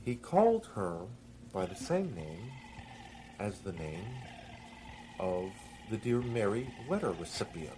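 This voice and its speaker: A man telling a story in an informal, conversational way, halting and pausing mid-sentence. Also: fairly low pitch, big pitch swings, background noise. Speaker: storyteller reading an original story. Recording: music playing behind the voice. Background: music.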